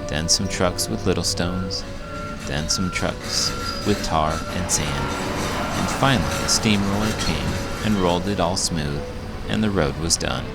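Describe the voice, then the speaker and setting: Low-voiced man reading a storybook over music. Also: creaky. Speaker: children's book reader, elementary school teacher. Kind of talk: expressive read-aloud storybook narration. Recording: music behind the voice. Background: prominent music.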